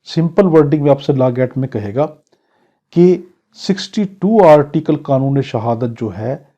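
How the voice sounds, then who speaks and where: A man's voice: mid-range voice, animated, mellow. A Pakistani-accented man giving an exam-prep law lecture, explaining didactically with examples and questions. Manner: reads English clauses slowly, then explains them in Urdu; didactic, poses questions and answers them. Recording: dull, lo-fi lecture recording.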